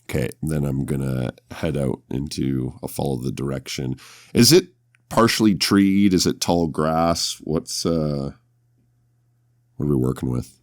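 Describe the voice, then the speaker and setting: A man with an extremely low voice that crackles with gravel, speaking at a slow, deliberate pace. He sounds close and dry as he narrates and plays a tabletop horror game. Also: no echo. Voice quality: very deep, gravelly. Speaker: podcast host and tabletop player. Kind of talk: scripted horror intro narration, then casual roleplay.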